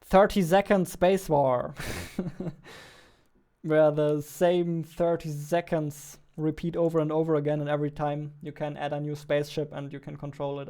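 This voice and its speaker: A man's voice: on the high side, mellow. A man, chatting casually as he works on a livestream into a close studio mic. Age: 20s. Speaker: indie game developer streaming his work. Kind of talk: casual livestream chat while working. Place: small indoor home studio. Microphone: close studio mic on boom arm.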